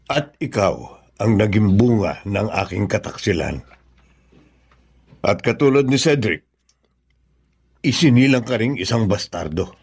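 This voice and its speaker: An actor playing a remorseful but firm middle-aged father in a Filipino radio drama, speaking solemnly and deliberately. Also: on the low side, expressive, a little mellow, slightly rough, exaggerated voice.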